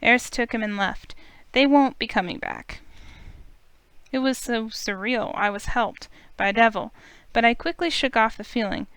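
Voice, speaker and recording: A woman reading a visual novel aloud in steady first-person narration. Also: on the high side, normal speed, clean tone, no echo. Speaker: let's play reader.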